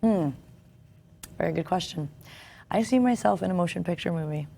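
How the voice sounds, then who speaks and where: A woman's voice: fairly low pitch, normal speed, vocal fry, dark tone. A woman actress talking as a guest on a TV interview show, earnest, with fillers and restarts. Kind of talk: casual interview talk. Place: television studio.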